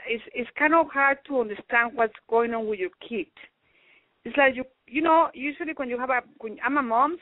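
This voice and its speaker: A middle-aged woman from Mexico, a native Spanish speaker, speaking as a radio guest in careful, halting English with many restarts. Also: on the high side, no room sound. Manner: halting, searching for words, restarts. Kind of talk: call-in radio interview. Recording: tinny phone line.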